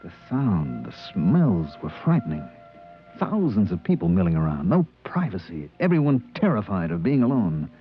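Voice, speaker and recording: Animated, incredulous spaceman in old radio drama. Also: low-pitched, moderate pace. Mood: grave narration. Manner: emphatic, questioning, dramatic. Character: incredulous, outspoken male spaceship crewman telling his story. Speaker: actor narrating and playing the lead. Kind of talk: 1950s radio drama acting. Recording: dull old radio recording, faint music.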